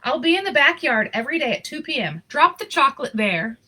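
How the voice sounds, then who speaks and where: A woman's voice: high voice, very animated, fairly quick, bright, forceful tone, voice pushed up, full character voice. A woman voicing a hopeful young child from a storybook, reading out a letter in an earnest, careful way.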